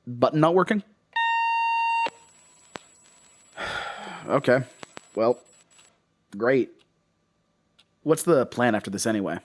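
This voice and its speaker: An actor playing a troubled, defensive investigator in a horror audio drama, halting, rambling and confessional. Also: male voice, mid-range voice, natural voice. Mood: nervous, defensive. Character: troubled, defensive male investigator recounting his case.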